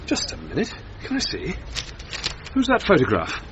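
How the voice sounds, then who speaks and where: An actor playing a mild, courteous English runner in a radio drama, understated and dryly witty. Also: male voice, a little high, huge pitch swings, normal speed, fairly bright tone. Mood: curious, measured. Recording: muffled lo-fi recording, noisy ambience.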